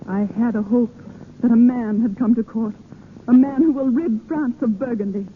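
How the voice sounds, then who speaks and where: A woman's voice: fairly high pitch, moderate pace, narrow, tinny audio, lightly acted. A woman acting a proud, earnest young noblewoman with formal, dignified speech in a theatrical old-time radio drama. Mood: proud, fervent. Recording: thin, noisy old radio broadcast.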